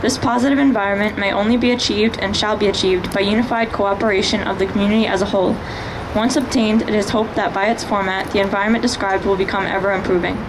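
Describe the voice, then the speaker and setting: A woman's voice: mid-range voice, steady tone, quick pace. Young woman reading formally, noisy recording. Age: young adult. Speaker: youth group member reading an article. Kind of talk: formal read-aloud declaration.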